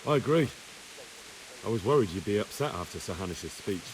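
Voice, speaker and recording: An actor playing an earnest young squire in a medieval video game, respectful and inquisitive. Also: male voice, on the low side, expressive, brisk, noisy background, close to an everyday voice. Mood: agreeable. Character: earnest young male squire, dutiful, curious.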